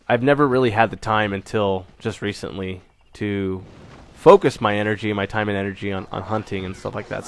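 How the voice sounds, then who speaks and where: Rambling young man, low voice, just over a cold. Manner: rambling, many fillers and restarts. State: recovering from a cold. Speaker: gaming channel host. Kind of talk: casual spoken channel update.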